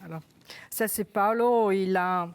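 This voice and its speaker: An Italian woman from Rome, an author being interviewed on television, speaking French with a Roman accent and pausing often to search for her words. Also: medium pitch, clear, resonant tone. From Italy. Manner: hesitant, searching for words, halting restarts. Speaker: author guest. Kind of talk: television interview with a read passage. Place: television studio.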